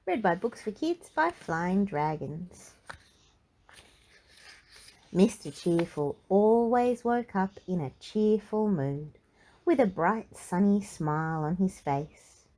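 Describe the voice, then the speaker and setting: A woman reading a children's storybook aloud in an expressive, measured narrating style. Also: medium pitch, rough recording. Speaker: children's book reader.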